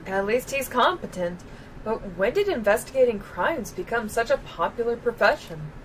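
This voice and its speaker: A woman's voice: fairly high pitch, expressive, normal speed, a little hiss or hum, rough recording. A woman livestreaming a game and talking through it casually.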